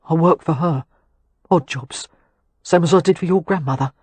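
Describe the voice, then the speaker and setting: A woman voicing a shy young working-class man from South London, awkward and hesitant, with a thick South London accent and a breathy voice. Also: low voice, moderate pace, darkish tone, dry recording. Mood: earnest. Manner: awkward, hesitant, plain-spoken.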